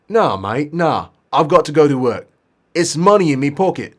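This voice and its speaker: A man's voice: a little high, big pitch swings, moderate pace, pitched above the performer's own voice. A man voicing a stubborn, impatient young British working man who pleads and insists in a matey, repetitive way while a story is read aloud. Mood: stubborn, pleading. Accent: mock British.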